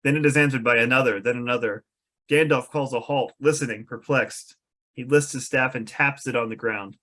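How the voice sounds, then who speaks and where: Mid-pitched man narrating stage directions. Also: fairly even intonation, a little fast, fairly bright tone. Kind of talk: scripted narration at a table read.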